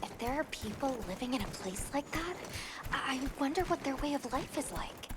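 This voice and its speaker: A woman's voice: sits high, animated, normal speed, noisy background. A woman playing a composed, courteous young field guide in a video game, speaking politely and instructively. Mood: thoughtful. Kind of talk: video game cutscene acting.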